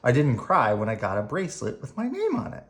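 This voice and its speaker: An adult reading a picture book aloud to children in an expressive storytelling style. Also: medium pitch, a little fast.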